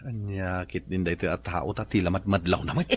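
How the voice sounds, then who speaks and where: A man's voice: sits low, steady tone, a little fast, breathy edge. A man playing a stingy, grumbling husband with an Ilocano Filipino accent in a comedy radio drama. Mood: muttering, suspicious.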